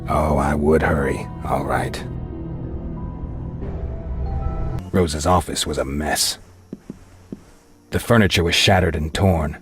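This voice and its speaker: An actor playing a grim half-vampire antihero who narrates a video game in a terse, deadpan, noir-like way. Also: male voice, very deep voice, measured pace, music in the background. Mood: tense, grim.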